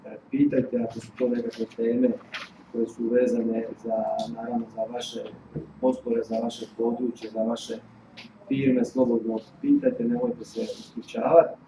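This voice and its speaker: Male course organizer, monotone, filler-heavy; distant mic, reverberant noisy room. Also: medium pitch, moderate pace. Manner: hesitant, many fillers. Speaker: course organizer introducing the speaker.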